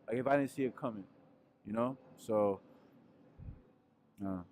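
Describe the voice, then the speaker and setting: Young male musician, relaxed rambling interview voice, mid pitch, handheld mic indoors. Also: measured pace, a little hiss or hum. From Peru. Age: young adult. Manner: laid-back, rambling, frequent fillers. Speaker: musician guest. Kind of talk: casual interview answers. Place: indoors in front of a wooden wall.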